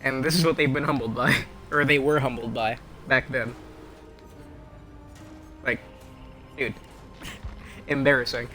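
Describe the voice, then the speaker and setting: A man's voice: mid-range voice, steady tone, measured pace, long pauses, pushed and bright, soft background music. A young man chatting casually over his own gameplay, rambling and wry, poking fun at himself and at other players. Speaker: game streamer.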